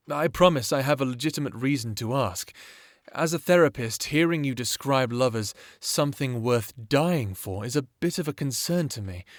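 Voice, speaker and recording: An actor playing a gentle, encouraging therapist in an audio drama, speaking in a measured, tentative and attentive professional way. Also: male voice, medium pitch, no room sound, studio quality. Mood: awkward, concerned. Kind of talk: audio drama roleplay acting, one-on-one session.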